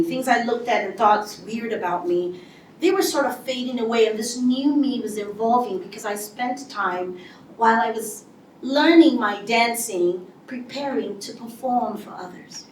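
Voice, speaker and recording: A woman giving a motivational speech to an audience, telling her story in a lively way and acting out what people said. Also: a little high, distant mic, slight background noise. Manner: animated storytelling with acted-out quotes. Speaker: speaker. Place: large hall before an audience. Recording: echoey hall, voice from afar.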